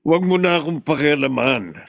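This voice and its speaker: A man's voice: fairly high pitch, expressive, a little fast, creaky, dry recording, dull audio. An actor playing a middle-aged father mourning the wife who left him, mournful and withdrawn, in a radio drama. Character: grieving middle-aged father, sullen and lovesick.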